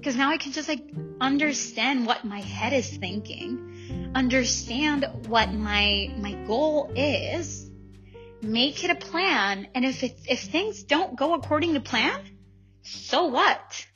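A woman's voice: high-pitched, animated, slow pace, muffled recording. A young woman from El Salvador talking casually and reflectively to her listeners, informal and self-questioning, often checking in as she goes. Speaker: vlogger. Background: music.

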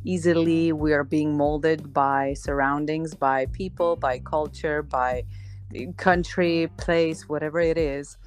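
Woman, deep voice, casual reflective guest talk, discursive, repeats for emphasis, faint music behind. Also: steady tone, slow pace. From Slovenia. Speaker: guest, marriage and relationship coach.

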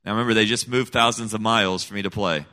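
A man's voice: fairly low pitch, fairly even intonation, fast talker, sharp tone. A middle-aged man raised in Senegal, giving an earnest, emphatic personal testimony as an interview guest. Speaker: guest, missionary kid.

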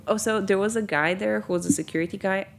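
A woman's voice: medium pitch, fast talker, vocal fry, background noise. A young woman from Bulgaria, a company founder, chatting in an interview with many ums and likes. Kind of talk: casual interview.

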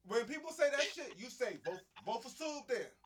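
A young man with a high, lively voice that swings up and down. He talks casually and crudely with a lot of animation, and he often breaks into snatches of song. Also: normal speed, slight background noise. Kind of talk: casual chat.

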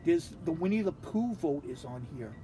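Podcast co-host, New man, high pitch, profane and blunt, does voices when he retells stories. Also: animated, moderate pace, warm tone, soft background music. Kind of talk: casual chat, reading scorecard notes aloud.

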